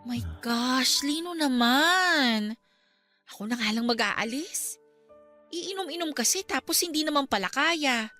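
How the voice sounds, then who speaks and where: A woman's voice: high voice, expressive, unhurried, pushed and bright, full character voice. A Filipino actress in a radio drama playing a caring, exasperated young girlfriend, nagging yet tender. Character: caring, exasperated young girlfriend looking after her man. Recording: music behind.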